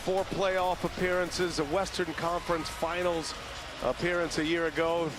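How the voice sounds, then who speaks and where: High-voiced man calling basketball play-by-play. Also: flat delivery, moderate pace, over music, low-quality recording. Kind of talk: live sports commentary. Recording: TV game broadcast over loud arena noise. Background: basketball arena noise.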